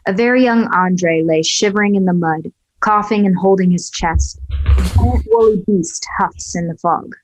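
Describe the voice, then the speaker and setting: A woman reading screenplay scene directions aloud at a table read, in an even, matter-of-fact way. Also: medium pitch, normal speed, slight background noise, poor audio. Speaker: stage-direction reader.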